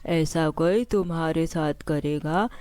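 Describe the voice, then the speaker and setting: A woman voicing a stern but forgiving old grandfather in a children's cartoon story, admonishing in a measured way. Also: low-pitched, a little mellow, no echo. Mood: stern, advising.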